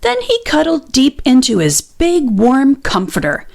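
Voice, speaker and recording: Slow, animated woman reading a storybook. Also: high-pitched, vocal fry. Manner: expressive, deliberate storytelling. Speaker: children's story narrator. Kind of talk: read-aloud storybook narration.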